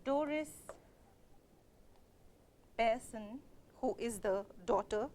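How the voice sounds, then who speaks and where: A woman's voice: a little high, drawn-out words, a little mellow, slight background noise. A woman lecturer explaining a play to students, speaking in an explanatory way with frequent "uh, you know" fillers, whose first language is Kashmiri. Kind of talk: recorded literature lecture.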